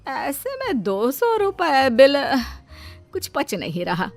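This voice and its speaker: A suspicious older mother-in-law from a cartoon family tale, put on by a woman. The voice is fairly high and exclamatory, fussing and questioning. Light music sits behind it. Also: wildly swooping pitch, slow, exaggerated voice. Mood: puzzled. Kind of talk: animated Hindi moral story acting.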